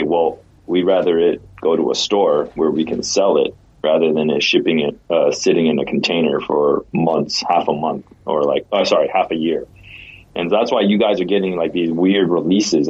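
A man co-hosting a podcast, explaining slowly in casual chat with lots of restarts. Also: low-pitched, fairly even intonation, a little mellow, husky, highs cut off. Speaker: podcast co-host.

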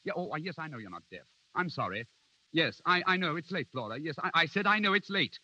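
An actor playing a flustered, remorseful husband torn between two women, stammering and impassioned in the manner of 1930s radio drama. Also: male voice, a little high, lively intonation, a little fast, bright, forceful tone, exaggerated voice. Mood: flustered, apologetic. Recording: muffled 1930s radio recording.

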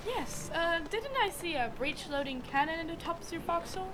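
A woman's voice: extremely high pitch, animated, normal speed, background noise. A teenage girl playing an earnest, curious male professor narrating his sea voyage in a youth radio play. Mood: polite, curious. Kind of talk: youth radio theatre acting. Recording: radio play with loud music underneath.

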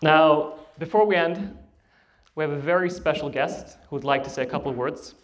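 A man presenting at a meeting, giving quick, low-key introductions. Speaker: conference host.

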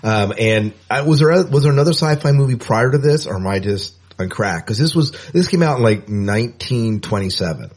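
A man's voice: medium pitch, lively intonation, fairly quick, few pauses, darkish tone, husky. A man co-hosting a podcast in casual chat, often repeating phrases for emphasis. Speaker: podcast co-host.

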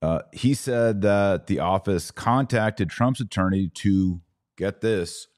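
Deep-voiced, slow-talking man. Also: darkish tone. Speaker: podcast host. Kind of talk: political and religious commentary.

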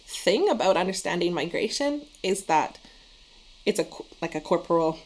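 A woman's voice: lowish voice, sing-song, moderate pace. A middle-aged woman from Canada, a migration researcher talking as a guest in a thoughtful, earnest way. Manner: thoughtful, earnest, academic phrasing. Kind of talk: conversational interview.

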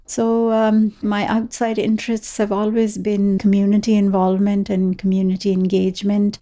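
A woman's voice: medium pitch, completely flat delivery, moderate pace, dark tone. A woman in her seventies from Kashmir, telling her life story in an interview, reflective, with fillers and restarts. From Kashmir, India. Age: 70s. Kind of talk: personal storytelling.

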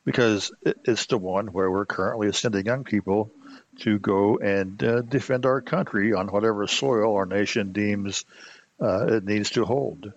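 A man giving explanatory commentary as a host, in a halting, reflective manner. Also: lowish voice. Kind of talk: explanatory commentary on old radio. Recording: slightly muffled, dull sound.

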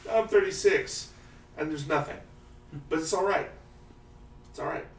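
A man in his thirties in casual chat, animated and laughing often, slipping into exaggerated quoted voices. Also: fairly high pitch, unhurried. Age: 30s. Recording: roomy echo, distant mic, faint hiss.

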